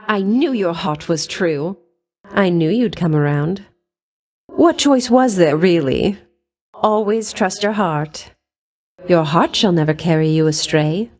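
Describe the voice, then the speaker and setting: An actress playing a flirtatious, doting goddess of love in a video game, with a coy, honeyed, theatrical delivery. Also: female voice, medium pitch, darkish tone. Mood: pleased, assured. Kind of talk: video game character voice lines.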